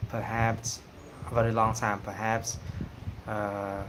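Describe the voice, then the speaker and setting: A man from Cambodia with a Cambodian accent, whose first language is Khmer, talking casually on a livestream and pausing often with fillers. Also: lowish voice, level tone, noisy background. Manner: hesitant, many pauses and fillers. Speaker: singing channel host.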